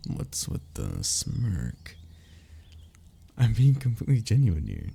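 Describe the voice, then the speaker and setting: A young man acting an affectionate, playful best friend in an intimate audio roleplay, soft-spoken and gently teasing, with a gravelly, husky voice. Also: lowish voice, huge pitch swings, slow, a little hiss or hum, heavily acted. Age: young adult.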